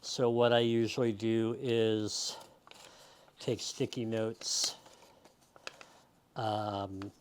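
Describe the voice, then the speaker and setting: Slow-talking man giving a casual tutorial. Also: fairly low pitch, little pitch movement, a little hiss or hum. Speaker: demonstrator in a how-to video. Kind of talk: casual step-by-step tutorial talk.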